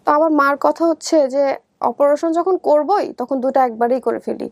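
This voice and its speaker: High-pitched young Bangladeshi woman. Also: moderate pace, no echo, clean studio sound. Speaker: guest telling her life story. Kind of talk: personal storytelling in conversation.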